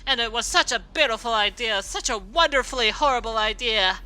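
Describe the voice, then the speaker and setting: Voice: medium pitch, expressive, fairly quick, pushed and bright, clean tone, voice pushed up, low-quality recording. A storyteller playing a cruel, furious grown pegasus mare who laughs maniacally and screams with rage. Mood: gloating. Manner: manic laughter and enraged screaming. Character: villainous adult female pegasus, cruel and furious.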